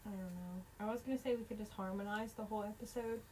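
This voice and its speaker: A woman co-hosting a casual podcast, teasing her friend and tossing out quick jokey comebacks. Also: medium pitch, steady tone, dark tone, a little hiss or hum, low-quality recording. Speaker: podcast co-host. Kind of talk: casual chat.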